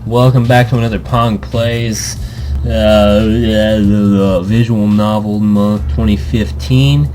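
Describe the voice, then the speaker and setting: A man's voice: fairly low pitch, steady tone, takes it slowly, dark tone, a little hiss or hum, low-quality recording. A man hosting a gaming video in a casual, jokey, rambling way, easily amused. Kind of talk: casual let's play commentary. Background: music.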